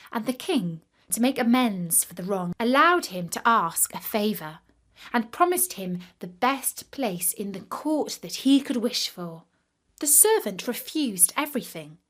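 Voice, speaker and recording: A woman narrating a scripted fairy tale for children in a careful, expressive manner. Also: medium pitch. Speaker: storyteller.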